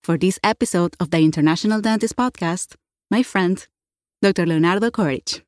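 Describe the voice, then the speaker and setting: A woman's voice: fairly low pitch, brisk, a little mellow, no echo, studio quality. A woman hosting an interview podcast, reading a prepared introduction and then welcoming her guest in an earnest, friendly way. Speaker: podcast host.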